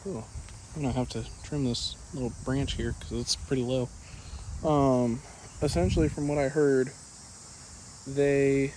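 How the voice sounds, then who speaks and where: A man's voice: medium pitch, warm tone, rough recording. A man talking in a casual, rambling, slangy vlog style, chatting to viewers as he goes. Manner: laid-back slangy talk, calls listener dude. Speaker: vlogger. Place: outdoors in a yard. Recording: outdoor recording with clear insect and ambient noise.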